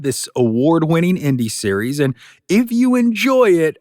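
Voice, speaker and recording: A man's voice: medium pitch, no room sound, studio quality. A man hosting a podcast, giving a conversational, enthusiastic and emphatic introduction to a sponsored show. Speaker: podcast host.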